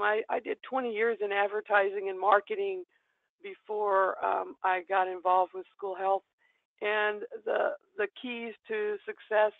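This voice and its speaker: A middle-aged woman presenting a webinar, her gravelly voice hoarse from bronchitis. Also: medium pitch, no echo. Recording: tinny dry phone-line audio.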